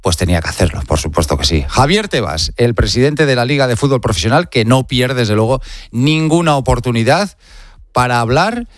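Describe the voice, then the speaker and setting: A man's voice: medium pitch, animated, a little fast, no room sound, clean studio sound. A man with a Spain Spanish accent presenting sports news as a radio host, emphatic and conversational, with rhetorical questions and asides.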